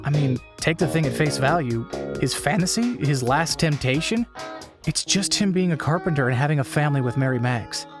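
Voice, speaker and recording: A man's voice: fairly high pitch, a little fast, lightly acted. A man playing a skeptical young friend in an audio drama, musing bluntly in casual, conversational speech. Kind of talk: casual monologue in audio fiction. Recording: guitar music under the voice.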